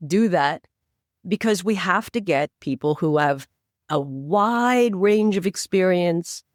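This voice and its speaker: A woman's voice: lowish voice, expressive, takes it slowly, dry recording, studio quality. A woman in her sixties, a podcast guest, speaking emphatically and persuasively as she urges listeners on. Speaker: podcast guest, inclusive AI advocate. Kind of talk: conversational interview.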